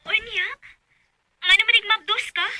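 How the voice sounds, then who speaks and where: A woman's voice: very high-pitched, fairly even intonation, moderate pace, sharp tone, full character voice. An actress with a Cebuano accent playing a blunt, nosy, scolding female confidante in a radio drama. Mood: probing. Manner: incredulous, exclamatory.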